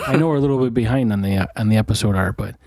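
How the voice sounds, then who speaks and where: A man's voice: lowish voice, darkish tone, no room sound, clean studio sound. A man co-hosting a casual beer podcast, joking crudely and rambling with lots of fillers. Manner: crude, joking, drawn-out filler-heavy talk. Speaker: podcast co-host. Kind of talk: casual chat over beers.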